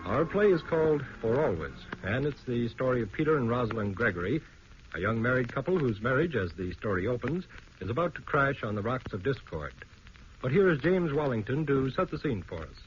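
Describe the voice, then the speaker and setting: A man's voice: mid-range voice, sing-song, fairly quick, a little hiss or hum. A man acting as master of ceremonies, reading a scripted introduction in 1940s radio announcer style. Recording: muffled old radio broadcast, loud music.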